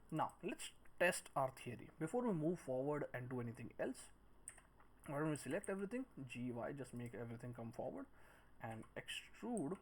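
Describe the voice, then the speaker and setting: A man with a mid-pitched voice narrates a software tutorial in a casual way, talking himself through each step as he works. The recording has clear background noise, with keyboard typing and mouse clicks. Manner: talks through each step while working, muttering counts and shortcuts. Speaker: 3D modelling instructor.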